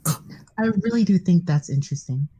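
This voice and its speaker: A woman voicing a calm, controlling squad commander in an amateur anime fan dub. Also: low voice, normal speed, highs cut off, a little hiss or hum. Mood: composed.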